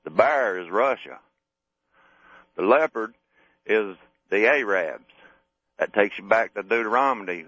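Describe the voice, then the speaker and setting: A man calling into a radio show, stating his views in a flat, matter-of-fact way. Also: fairly low pitch, a little slow, vocal fry, long pauses, husky, dry recording. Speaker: caller. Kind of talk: phone-in call. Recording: dull lo-fi phone line.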